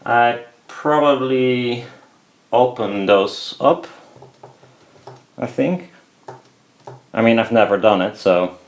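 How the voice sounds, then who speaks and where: A man's voice: mid-range voice, takes it slowly, pauses often. A man giving casual, hesitant hands-on commentary, muttering to himself as he works at an indoor bench. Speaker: DIY maker. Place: indoors at a home workbench. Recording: clear background noise, clicks of handling. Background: noise and clicking.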